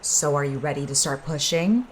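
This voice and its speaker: Woman playing very low-voiced, cold scheming mistress. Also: normal speed, a little hiss or hum, low-quality recording. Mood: cold, commanding. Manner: calm, commanding, coolly persuasive. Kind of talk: audio drama acting.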